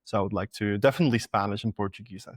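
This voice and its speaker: A man's voice: fairly low pitch, rapid-fire, slightly rough, no echo. A man in his twenties from Latvia, a native Russian speaker, chatting loosely as a podcast guest and often restarting his words. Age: 20s. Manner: relaxed, repeats and restarts words, laughs easily. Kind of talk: casual interview chat.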